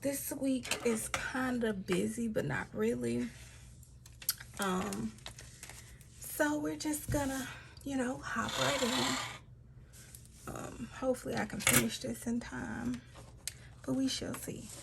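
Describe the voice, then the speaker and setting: A woman talks through her planner spread at an unhurried pace, her fairly high voice carrying a gravelly, slightly raspy edge. She chats loosely and often drops into a soft half-whisper. Steady hiss and noise sit under the recording. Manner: relaxed, chatty, trailing off with fillers. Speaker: planner vlogger. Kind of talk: casual talk-through while decorating.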